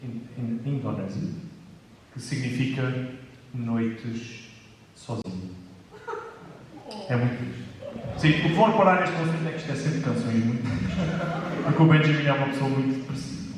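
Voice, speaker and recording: A man's voice: mid-range voice, moderate pace, long pauses. A man chatting and teasing between songs at a live concert. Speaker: band member introducing songs. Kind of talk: casual joking stage banter. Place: live concert room with an audience. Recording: echoey hall from far off, faint noise.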